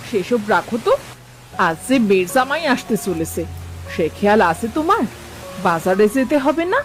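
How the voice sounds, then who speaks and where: Cartoon mother-in-law female actor: animated, homely, coaxing, middle-aged, over a music bed. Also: a little high, normal speed, low-quality recording. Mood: coaxing, insistent. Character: caring, insistent village mother-in-law, middle-aged housewife. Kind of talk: Bengali cartoon dialogue. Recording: background music under the voice.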